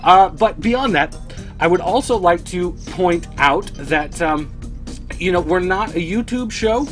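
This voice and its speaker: Dry, high-pitched man hosting over music. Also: animated, unhurried, fairly bright tone. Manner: dry, sardonic. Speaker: host of a toy collecting news show. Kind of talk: casual chat to viewers.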